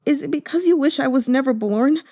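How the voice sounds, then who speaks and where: A woman's voice: high voice, fairly quick, mellow, voice pushed up. A woman voicing a pampered young man who sobs and stammers as he pleads, breathless and tearful. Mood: broken muttering. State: sobbing.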